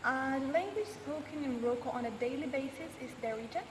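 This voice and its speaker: A young woman in her twenties, a student, answering interview questions in a relaxed way with frequent pauses. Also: high voice, far from the mic. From United States. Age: 20s. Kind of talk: casual explanatory interview answers. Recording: echoey hall from afar, background noise.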